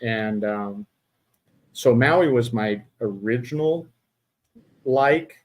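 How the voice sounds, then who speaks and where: Middle-aged male host, mid-pitched, chatty but stumbling, many restarts. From Utah. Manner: halting, many fillers and restarts. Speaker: podcast host. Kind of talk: casual interview chat.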